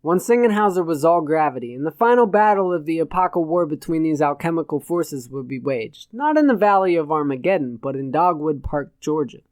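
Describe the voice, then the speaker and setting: A man's voice: on the high side, animated, brisk, hardly any pauses, no room sound. Man reading an audiobook, dry close sound. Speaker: audiobook narrator. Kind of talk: scripted narration of satirical fiction.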